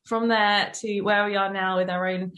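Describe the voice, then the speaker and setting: A woman's voice: mid-range voice, level tone, normal speed, a bit bright, echoey room, dull audio. A woman hosting a podcast, chatty and upbeat as she introduces her guest. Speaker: podcast host.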